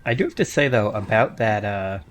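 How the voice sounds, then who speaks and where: A man's voice: fairly low pitch, animated, fairly quick, a little hiss or hum. A man hosting a casual panel chat about a tabletop game he plays in. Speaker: podcast host and player in a tabletop game.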